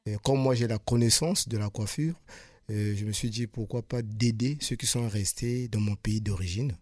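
A man's voice: on the low side, darkish tone, no echo. Earnest man, dry studio sound. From Republic of the Congo. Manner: hesitant, many pauses and repeated words, sincere. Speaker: radio guest, hairdresser. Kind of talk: earnest personal testimony. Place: radio studio.

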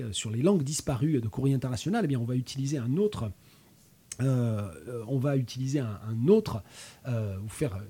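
A man's voice: mid-range voice, mellow, slightly rough. A man hosting a radio discussion, hesitant and often stumbling over his words. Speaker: radio host. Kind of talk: casual hosted discussion.

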